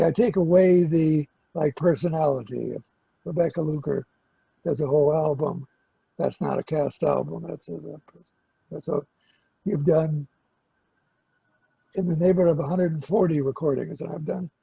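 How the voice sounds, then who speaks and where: An older man hosting a casual interview, hesitant and pausing mid-sentence as he asks questions. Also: on the high side, gravelly, warm tone, slightly rough, dry recording, rough recording. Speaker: interviewer and host, record producer.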